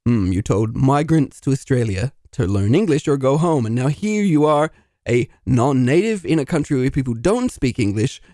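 A man's voice: medium pitch, animated, moderate pace, warm tone, no echo, clean studio sound, close to an everyday voice. A man voicing a self-pitying failed dungeon master in a comedy audio drama, talking in a melodramatic way. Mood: dramatic. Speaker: narrator.

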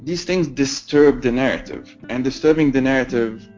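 A young man, an editor, gives measured, reflective interview commentary. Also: mid-range voice, darkish tone, soft background music. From Lebanon. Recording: slightly dull lo-fi sound.

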